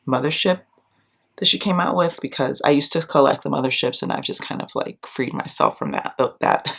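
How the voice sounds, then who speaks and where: Congested woman vlogger in her thirties, gravelly with fry, rambling chat to a close camera. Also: rumbling low, fairly bright tone. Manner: chatty, rambling, lots of ums and likes. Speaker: beauty and book vlogger. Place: small room at home, indoors. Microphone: camera close up, face framing.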